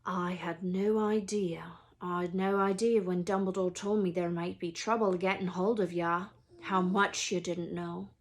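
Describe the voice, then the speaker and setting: A woman voicing a huge, gruff but good-hearted wizard man in a storybook, emotional and anxious, with folksy, halting speech and dropped word endings. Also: on the low side, fairly even intonation. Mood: worried, hesitant.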